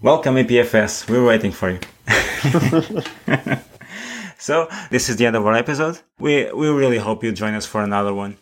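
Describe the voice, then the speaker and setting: A man co-hosting a casual tech podcast, halting and often restarting words as he searches for phrasing. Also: medium pitch. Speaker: podcast co-host.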